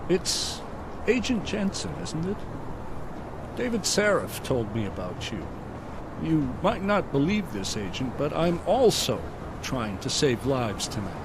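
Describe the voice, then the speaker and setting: A man's voice: a little high, sing-song, measured pace. A man playing a polished, assured and persuasive executive in a video game cutscene. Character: polished, persuasive male executive hosting powerful guests. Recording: clear outdoor traffic-like noise behind.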